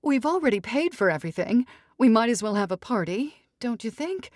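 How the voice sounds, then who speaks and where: A woman's voice: a little high, sing-song, a little fast, no echo, studio quality, voice pushed up. A woman voicing a fussy, indignant middle-aged mother of the bride in an audiobook, with a theatrical, doting and haughty manner. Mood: practical. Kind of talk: audiobook character dialogue.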